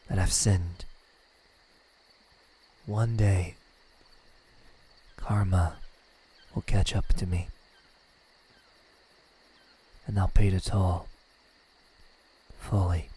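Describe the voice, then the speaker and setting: Man acting a male demon, low dark voice, smug and menacing, melancholic, hushed ASMR roleplay. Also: little pitch movement, heavily acted. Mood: grave. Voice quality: breathy, slipping into whispers. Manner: slow, hushed, taunting delivery with pauses.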